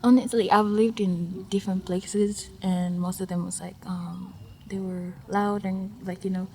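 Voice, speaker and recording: Hesitant young woman, mellow voice. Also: mid-range voice, little pitch movement, measured pace, a little hiss or hum. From Africa. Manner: hesitant, lots of fillers, drops to near-whisper. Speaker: interviewee. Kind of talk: casual recorded interview. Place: outdoor festival.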